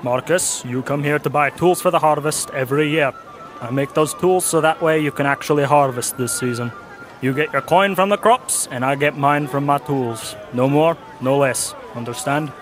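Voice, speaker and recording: A man playing a gruff, businesslike medieval toolmaker in an audio drama, blunt and stern as he haggles. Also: a little high, normal speed, slight background noise, lightly acted. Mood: impatient.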